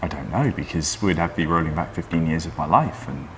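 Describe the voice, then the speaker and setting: A man with a very low voice, chatting casually about his coffee business. He speaks in a dry, wry way and often stops and restarts. Clear room noise sits behind him. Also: animated, quick pace, a bit bright. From Wales. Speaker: coffee roaster and business owner. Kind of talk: casual interview chat. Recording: noisy room ambience.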